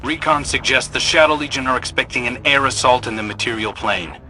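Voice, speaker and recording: An actor playing a pragmatic military field operator in a video game, giving brisk briefings over a radio. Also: male voice, mid-range voice, bright, forceful tone, slight background noise. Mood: focused. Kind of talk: scripted video game dialogue.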